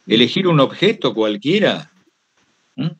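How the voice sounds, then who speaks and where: Argentine guy, rioplatense accent, telling stories off the cuff, super lively pitch, wanders off on tangents. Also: measured pace, slightly rough, muffled recording. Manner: digressive, acts out quoted remarks. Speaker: guest storyteller giving a talk. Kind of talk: casual anecdotal storytelling.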